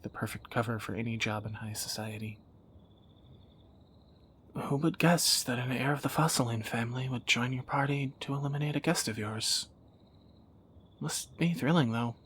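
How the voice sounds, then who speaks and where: A man's voice: mid-range voice, fairly bright tone, a little hiss or hum, natural voice. A man playing a tender, wry young thief in a romantic audio roleplay, teasing and intimate, often dropping toward a whisper. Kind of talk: romantic audio roleplay, one side of a dialogue.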